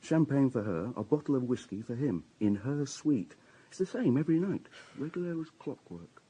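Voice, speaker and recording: An actor playing a casual, knowing hotel night worker who gossips about guests in a radio drama. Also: male voice, medium pitch, lively intonation, moderate pace, dark tone, slight background noise, close to an everyday voice, rough recording. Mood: knowing, gossipy.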